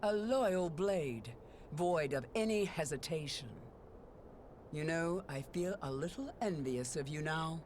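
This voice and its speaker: A woman's voice: deep voice, expressive, measured pace, darkish tone. Aloof woman warrior over noisy ambience. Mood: wistful, cool. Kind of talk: video game voice acting.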